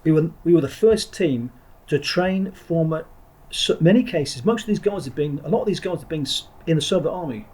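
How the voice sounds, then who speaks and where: A man's voice: fairly high pitch, lively intonation, brisk, darkish tone, breathy edge, rough recording. A middle-aged man from England, a former Marine trainer telling his story as a podcast guest in a blunt, digressive way, often restarting his sentences. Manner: blunt and digressive, with frequent restarts and the odd swear word. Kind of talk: reflective interview talk.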